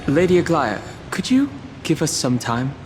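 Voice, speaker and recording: A man's voice: fairly high pitch, big pitch swings, normal speed. A man playing a polite, earnest young traveller in a video game, speaking in a courteous, matter-of-fact way. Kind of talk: scripted game character dialogue. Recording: long hall reverb, music behind. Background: game music.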